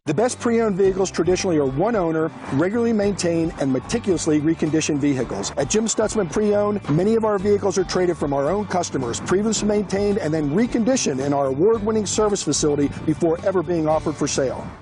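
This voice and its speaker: A man's voice: high-pitched, expressive, quick pace, few pauses, over music. A man reading a scripted radio advertisement as a car dealer.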